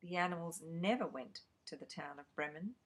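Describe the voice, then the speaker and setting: A woman reading a children's fairy tale aloud, slow and expressive. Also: low voice, breathy edge. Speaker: storyteller.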